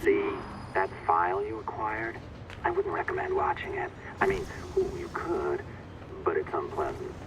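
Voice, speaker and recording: Deep-voiced, sly tech guy over radio. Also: huge pitch swings, moderate pace, exaggerated voice. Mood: sly, joking. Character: sly, chummy IT technician coaching a hacker. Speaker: game character, IT technician. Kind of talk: video game dialogue. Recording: dull, very noisy radio transmission.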